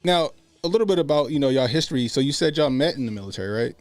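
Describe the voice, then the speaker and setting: A man's voice: mid-range voice, expressive, very fast, a little mellow, dry recording. An American man in his late thirties hosting a stream in casual, slangy, salty-tongued, joking chat. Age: late 30s. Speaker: podcast and livestream host. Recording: music bed under speech. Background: music.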